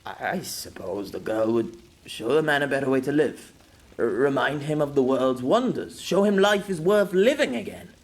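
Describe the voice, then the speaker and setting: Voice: medium pitch, animated, moderate pace, lightly acted. A narrator voicing a lonely, stubborn male writer who speaks desperately in an audiobook. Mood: anguished. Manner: desperate, insistent. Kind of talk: audiobook character voice.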